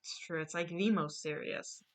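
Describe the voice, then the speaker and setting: A woman co-hosting a casual chat, retelling a story in a halting, amused way. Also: on the low side, fairly even intonation. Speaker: co-host recapping a drawn story.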